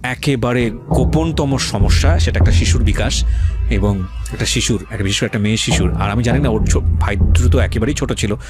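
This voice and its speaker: A man's voice: medium pitch, brisk. A man with a Bangladeshi accent hosting a radio interview and commenting, mixing English phrases into his speech. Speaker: radio host. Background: loud background music.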